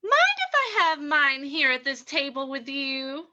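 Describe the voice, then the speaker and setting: Actress doing a saloon gal from a radio western, flirty gangster's accomplice, high bright voice, coy. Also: female voice, a little slow, lo-fi sound, heavily acted. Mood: flirtatious. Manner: coy, animated. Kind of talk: old-time radio drama reading.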